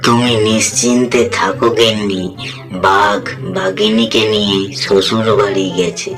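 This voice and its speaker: A man's voice: lowish voice, fairly even intonation, unhurried. A man voicing a cunning, reassuring monkey husband and father in a children's cartoon. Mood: reassuring, scheming. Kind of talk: cartoon dialogue. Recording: loud music behind the voice.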